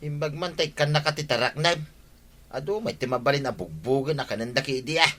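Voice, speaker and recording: A man's voice: medium pitch, lively intonation, a little fast, a bit bright, lightly acted. An actor playing a blustering, argumentative village man in an Ilocano radio comedy drama, speaking with an Ilocano accent. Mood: practical.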